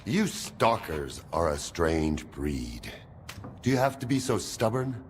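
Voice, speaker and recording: A man's voice: low voice, big pitch swings, slow, slight background noise, full character voice. A man playing a suspicious, domineering military interrogator in a video game cutscene. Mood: stern, pressing.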